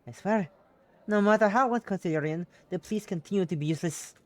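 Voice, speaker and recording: A woman's voice: medium pitch, fast talker, darkish tone, pitched above the performer's own voice, lightly acted. A woman voicing a worried, scolding but doting Russian mother with an exaggerated put-on Russian accent, emphatic and nagging, in an amateur drama reading.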